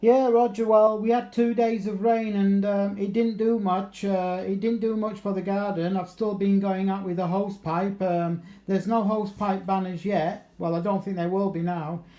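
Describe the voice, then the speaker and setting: A man's voice: sits high, flat delivery, moderate pace, few pauses, mellow, reverberant. A man with a southeast English accent chatting casually and matily as a radio operator, using procedure words. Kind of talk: casual radio contact chat. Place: kitchen at home.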